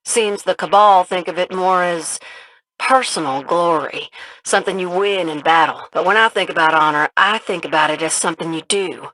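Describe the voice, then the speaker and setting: An actress playing a good-humored, earnest pilot comrade in a video game, talking in an informal, chatty, folksy way. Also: female voice, fairly low pitch, normal speed, a bit bright, no room sound, close to an everyday voice, poor audio. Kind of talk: scripted video game mission dialogue.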